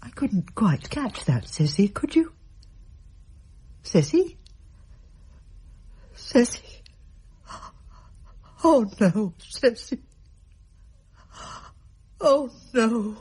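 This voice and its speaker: Devoted, very husky-voiced woman. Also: fairly high pitch, very animated, extremely slow, long pauses, warm tone, heavily acted. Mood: anxious, grieving. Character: devoted, protective woman tending her dying companion. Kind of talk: radio drama acting.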